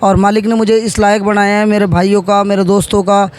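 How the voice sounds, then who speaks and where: A man answering interview questions as a politician, halting and repeating phrases as he goes. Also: sits high, little pitch movement, smooth. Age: 30s.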